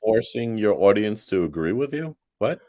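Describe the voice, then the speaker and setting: A man's voice: lowish voice, expressive, normal speed, mellow, dry recording, clean studio sound. A man cracking crude, wry jokes during an online voice-chat panel. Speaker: panel participant. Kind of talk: joking online voice-chat banter.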